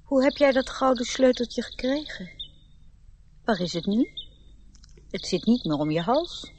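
A woman's voice: a little high, very animated, measured pace, darkish tone. Curious girl, radio play: direct, questioning, leisurely pace, slight background noise with birds. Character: Robinetta, curious, playful young girl.

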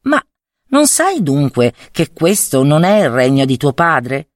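A woman's voice: sits low, sing-song, measured pace, dry recording, clean studio sound. Woman acting a proud young fairy-tale prince, swooping delivery. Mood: stern. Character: handsome young spring prince, proud, ardent, tender. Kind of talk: storybook fairy-tale character voice.

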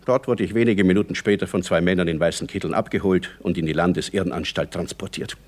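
A man's voice: deep voice, fairly even intonation, fast talker, lightly acted. An actor playing a hapless, agitated hungover man in a German radio play, telling his woes theatrically. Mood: agitated recounting. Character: hapless, agitated, newly engaged man with a hangover.

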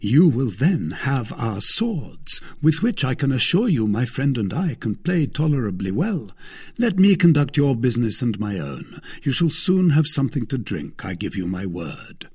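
A man voicing a bold, commanding swordsman, animated delivery. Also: mid-range voice, moderate pace, breathy edge. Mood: urgent, persuasive. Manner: formal, assured, emphatic. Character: bold, courteous young swordsman, commanding yet diplomatic. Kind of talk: dramatised classic adventure audiobook.

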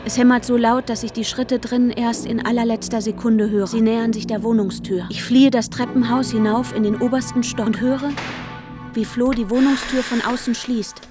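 An actress playing an anxious, determined woman in a radio drama, narrating intimately and urgently, often dropping to a hush. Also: a little high, fairly even intonation, normal speed, over music, lightly acted. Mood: hushed suspense. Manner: urgent, often dropping into a hushed near-whisper. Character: anxious, determined young woman searching for her missing partner.

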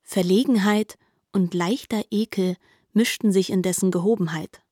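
A woman reads literary narration for a radio drama in a measured way. Her voice sits at a medium pitch in a dry studio sound. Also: steady tone, mellow, no room sound.

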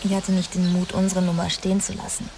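An actress playing a bitter, wounded young woman making a halting confession in a radio drama. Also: lowish voice, completely flat delivery, noisy background, natural voice. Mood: guilty, subdued. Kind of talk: dramatic radio play dialogue.

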